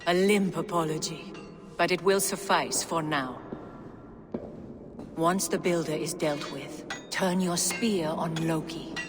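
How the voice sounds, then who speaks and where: Proud, sharp-tongued goddess queen for game drama. Lower voice, long hall reverb. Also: takes it slowly. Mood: cold, bitter. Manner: haughty, cutting. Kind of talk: video game cutscene acting. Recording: reverberant hall with music behind.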